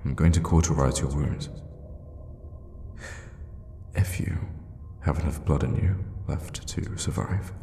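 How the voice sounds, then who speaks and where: Man playing a very deep, gravelly sadistic vampire. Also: full character voice. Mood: musing, cold. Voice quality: very deep, gravelly, breathy half-whisper. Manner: slow, hushed, menacingly tender with pet names. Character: sadistic, teasing male vampire captor, centuries old. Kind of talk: ASMR audio roleplay, intimate monologue. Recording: long reverb, faint background noise.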